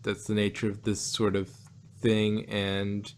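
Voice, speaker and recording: A man's voice: fairly low pitch, completely flat delivery, a little slow, a little hiss or hum. Man joking through a casual review chat. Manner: joking, laughs often, rambling with restarts. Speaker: podcast co-host reviewing an audio drama.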